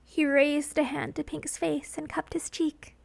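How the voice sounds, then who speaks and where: A woman narrates in a very high register. She reads scripted fan fiction as a storyteller, with a dramatic delivery. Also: level tone, a little slow, gravelly.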